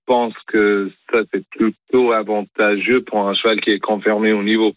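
A man's voice: fairly low pitch, level tone, moderate pace, no room sound. A man with a British accent, a horse trainer guest talking hesitantly over the phone with many fillers and restarts. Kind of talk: phone interview. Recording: tinny phone line.

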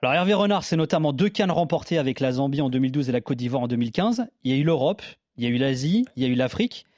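Brisk male sports interviewer. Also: medium pitch, expressive, a little mellow, dry recording, clean studio sound. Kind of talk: broadcast interview questioning.

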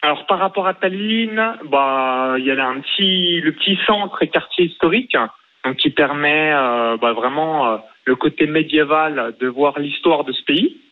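A young caller from eastern France comes through a thin, tinny phone line. His voice sits a little high, and he talks hesitantly, stopping often for fillers. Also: moderate pace. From France, Saône-et-Loire. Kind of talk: phone-in chat about living abroad.

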